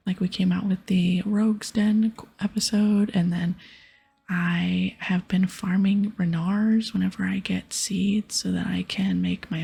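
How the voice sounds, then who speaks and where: A woman host whispering softly and breathily as she gives gentle, chatty ASMR commentary on a video game. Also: mid-range voice, creaky, slightly rough.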